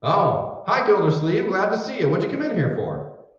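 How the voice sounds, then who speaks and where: Wisecracking folksy husband played by a man, radio comedy, animated pitch, heard distant in a roomy space. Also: normal speed. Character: folksy wisecracking husband working as poll clerk. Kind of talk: old-time radio comedy acting. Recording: echoey room, distant mic, dull sound.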